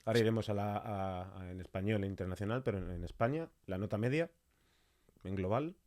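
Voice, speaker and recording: Deep voiced guy w hosting a podcast interview, chatty questions. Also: a little fast, a little mellow. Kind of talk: conversational interview.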